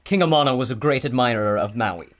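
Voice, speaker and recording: An actor playing an earnest, cautious archaeologist in a pulp adventure audio drama, bookish, polite and excitable. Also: male voice, medium pitch, fast, close to an everyday voice. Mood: absorbed, excited.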